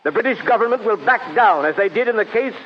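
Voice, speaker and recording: A man's voice: high voice, expressive, fast talker. An actor playing an earnest, conciliatory colonial statesman in an old-time radio drama, speaking politely and formally. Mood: earnest pleading. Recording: dull old radio recording, crowd noise behind.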